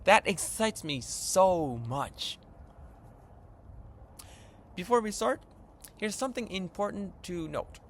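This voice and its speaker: Lively Japanese-accented man, eager host. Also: high voice, slow pace, pauses often, slight background noise. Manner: eager, earnest, upbeat. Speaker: channel host. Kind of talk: enthusiastic vlog-style lesson intro.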